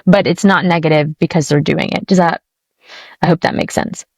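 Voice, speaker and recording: Young woman interview guest from Alabama. Gentle and thoughtful, lower voice, dry close recording. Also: fairly even intonation, normal speed, dry recording. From Alabama, USA. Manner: thoughtful, searching for words, gentle. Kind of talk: casual interview conversation.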